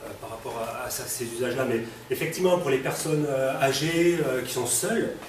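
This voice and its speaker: A man's voice: mid-range voice, brisk. Man with a cold, demoing a robot. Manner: casual, lots of fillers, asks the audience questions. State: has a cold. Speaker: robotics presenter. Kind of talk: live technical demo to an audience. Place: hall with an audience. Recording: echoey room, distant mic, background noise.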